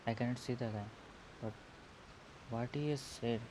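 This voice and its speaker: Male game streamer, mid-range voice, casual halting reactions, noisy hissing earphone-mic sound. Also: mid-range voice, fairly even intonation. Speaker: gaming video host. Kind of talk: casual gameplay commentary. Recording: hissy, murky earphone mic.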